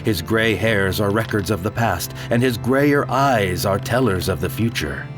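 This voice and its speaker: A man's voice: on the low side, unhurried. A man narrating a first-person audio drama in a grave, theatrical manner. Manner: grave, theatrical, rising to frantic cries. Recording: music bed under the voice. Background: music.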